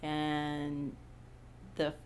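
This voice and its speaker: A woman in her eighties from Montana, recalling her childhood slowly in an oral history interview. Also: low-pitched, monotone, a little hiss or hum. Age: 80s. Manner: slow, unhurried recollection with frequent pauses. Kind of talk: reminiscing in a recorded interview.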